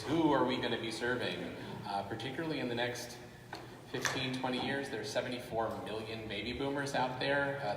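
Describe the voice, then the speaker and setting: Brief: male conference presenter, brisk and casual, booming hall reverb, distant mic. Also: background noise. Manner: brisk, loose with fillers. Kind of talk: conference talk. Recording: echoey hall from far off.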